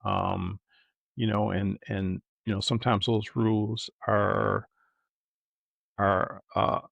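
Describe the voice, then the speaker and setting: A middle-aged man co-hosting a podcast in casual, reflective conversation, thoughtful and halting, often checking in with a questioning tag. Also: lowish voice, little pitch movement, vocal fry, no echo, muffled recording. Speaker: podcast co-host.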